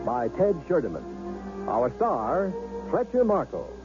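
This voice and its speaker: A man's voice: on the high side, huge pitch swings, unhurried, over music. Male show announcer, upbeat with lots of lift, dull vintage broadcast. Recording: dull 1970s radio broadcast.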